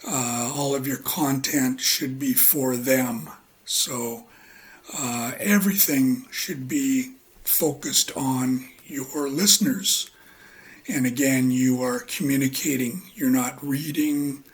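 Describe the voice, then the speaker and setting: Male English teacher, husky voice, unhurried, halting lecture delivery. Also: medium pitch, fairly even intonation. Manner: slow, halting, with many ums and uhs. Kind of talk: relaxed spoken lecture.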